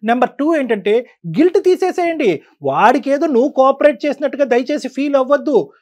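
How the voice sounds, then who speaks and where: A woman giving forceful, exhorting advice as a counsellor on a talk show. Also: sits high, animated, brisk. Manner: emphatic, exhorting.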